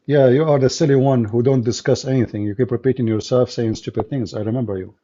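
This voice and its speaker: A man's voice: mid-range voice, steady tone, fast talker, mellow, lo-fi sound, a little hiss or hum. A man hosting a combative call-in debate, speaking blunt, mocking non-native English. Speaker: host of call-in debate show.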